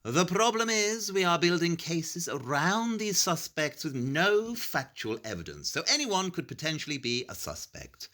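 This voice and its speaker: Bright, animated Victorian detective. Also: male voice, on the high side, exaggerated voice. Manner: deliberate, theatrical, laying out cases point by point. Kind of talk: audio play acting.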